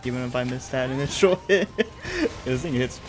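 A man's voice: mid-range voice, a little fast. A man in his thirties from California, chatting casually while streaming a game, laughing often. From California, USA. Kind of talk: casual gameplay commentary. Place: home room. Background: faint game music.

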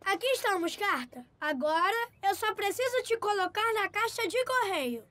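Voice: extremely high pitch, sing-song, normal speed, no echo, heavily acted. A performer with a Brazilian accent voicing a cheerful, polite little boy in a children's cartoon dub. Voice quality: bright, childlike. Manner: eager, courteous.

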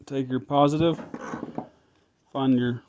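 A man's voice: mid-range voice, steady tone, takes it slowly, darkish tone, a little hiss or hum. A man thinking aloud as he tests an engine at his garage workbench, casual and a bit frustrated. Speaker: home mechanic vlogger. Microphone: phone camera held close.

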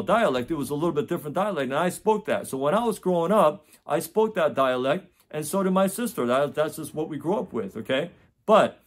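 A man in his forties, from the United States, speaking to camera in a small home office. His voice sits a little higher than most men's, and he talks earnestly, circling back on his words and checking in with "okay?" as he goes. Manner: earnest, repetitive, many restarts and "okay?" checks. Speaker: test prep tutor. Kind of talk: direct-to-camera advice talk. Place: home office with framed certificates.

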